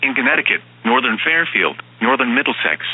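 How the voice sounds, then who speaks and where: A synthetic man's voice reading formal emergency weather bulletins as an automated announcer, in a flat, stilted manner, with lo-fi radio sound. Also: medium pitch, fairly quick, slight background noise. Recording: dull, band-limited weather radio broadcast sound.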